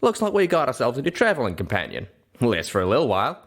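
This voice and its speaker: Man doing a folksy pony, raised pitch. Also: wildly swooping pitch, fast. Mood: cautious, conversational. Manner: folksy, conversational. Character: friendly folksy cowboy male pegasus pony, loyal and easygoing.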